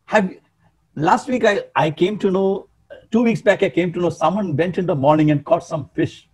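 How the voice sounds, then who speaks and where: An older man in his sixties preaches to a congregation in a fired-up, emphatic manner, his voice a little higher than usual and slightly husky. He sounds like he is talking into a webcam on a video call from a small room. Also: normal speed. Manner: emphatic, animated, gesturing, calling out to listeners. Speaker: pastor. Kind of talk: sermon.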